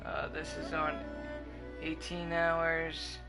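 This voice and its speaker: A male game streamer with a fairly high, creaky voice chats casually to his viewers. He rambles hesitantly, and loud game music plays behind him. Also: monotone, slow pace. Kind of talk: casual livestream commentary.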